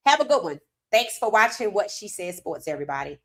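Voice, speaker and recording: A woman hosting a sports commentary livestream, hoarse from talking, animated and emphatic with casual slang. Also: mid-range voice, brisk. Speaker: host.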